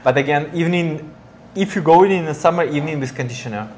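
A man's voice: fairly high pitch, fast, a little mellow, a little hiss or hum. Man describing perfumes with gusto. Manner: enthusiastic, descriptive, some non-native phrasing. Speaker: perfume reviewer co-host. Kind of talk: casual chat. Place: bright indoor studio with flower wall. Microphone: lapel mic.

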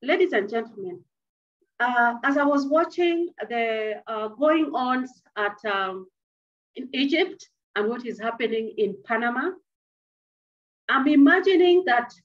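A middle-aged woman giving an emphatic, deliberate motivational address over a video call from a small office. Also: a little high. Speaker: speaker addressing youth at a conservation dialogue. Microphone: computer mic on a video call. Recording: dull, lo-fi video call audio.

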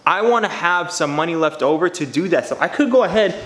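A man's voice: on the high side, animated, quick pace, a bit bright. A man in his twenties talking straight to camera in his home garage, earnest and slangy, addressing his viewers as "you guys". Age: 20s. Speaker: car channel vlogger. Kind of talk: casual vlog talk to camera. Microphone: camera mic. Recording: echoey garage room.

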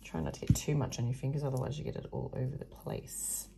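A croaky-voiced woman talks through a craft tutorial in a slow, low, gravelly voice, chatty and prone to muttered asides. Her tone is mellow, and she sits at a table under an overhead camera, with a faint hiss behind her. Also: steady tone. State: hoarse, croaky voice. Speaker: craft video host. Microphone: overhead camera above a table, hands only.

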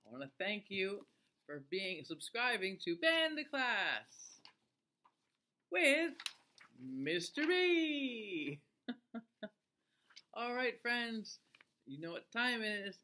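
Male, thirties, children's read-aloud teacher; swooping intonation, very unhurried, exaggerated delivery. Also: high voice. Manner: animated, exaggerated, drawn-out delivery with gasps. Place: small indoor room, seated facing camera.

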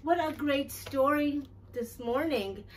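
Children's read-aloud host: middle-aged woman, higher voice, very slow deliberate phrasing, recorded away from the mic. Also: distant mic, a little hiss or hum. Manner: deliberate, clearly phrased, addressing young children. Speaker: children's storytime host and teacher. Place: small decorated classroom-style storytime corner, indoors.

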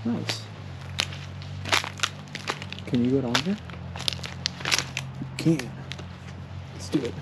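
Man, casual outdoor vlogger, mid-pitched, laid-back, takes mishaps in stride; clear background noise from the open air. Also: lively intonation, takes it slowly, pauses often. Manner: easygoing, shrugs off setbacks, talks to viewers. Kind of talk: casual walk-and-talk vlog narration. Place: outdoors by a lake and trails.

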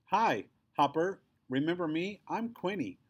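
A man voicing an excitable, chatty, friendly young girl in a storybook, gushing and emphatic. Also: a little high, unhurried, slightly rough, voice pushed up. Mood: eager, friendly.

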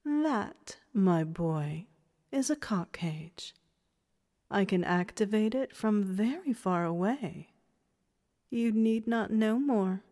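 A woman's voice: medium pitch, animated, takes it slowly, dark tone. A woman playing a domineering, condescending woman who commands and taunts in an audio story. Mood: threatening. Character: domineering, condescending woman running a training program. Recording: reverberant hall-like echo.

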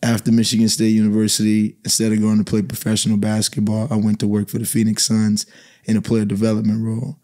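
A man from the Bahamas with a low, mellow voice speaks in a calm, even way. He talks thoughtfully as a guest into a studio boom mic. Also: fast talker. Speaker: basketball coach, podcast guest. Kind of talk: podcast conversation. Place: small podcast studio. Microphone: studio mic on boom arm.

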